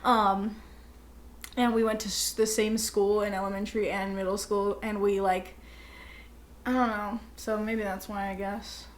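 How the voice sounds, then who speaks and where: A young woman co-hosting a podcast, chatting casually in a halting, self-deprecating, informal way. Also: medium pitch, level tone, a little hiss or hum. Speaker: podcast co-host. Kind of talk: casual conversational chat.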